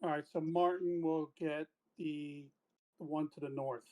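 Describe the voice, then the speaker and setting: A man's voice: a little high, steady tone, a little slow, dark tone. A man chatting casually as a player in a tabletop roleplaying game.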